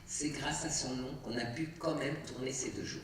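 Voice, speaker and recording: A woman's voice: very deep voice, fairly even intonation, normal speed, far from the mic, background noise. A woman, a film director chatting with an audience after a screening, telling stories with many fillers and restarts. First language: Arabic. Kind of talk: casual talk to an audience. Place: cinema auditorium. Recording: echoey cinema hall, heard from afar.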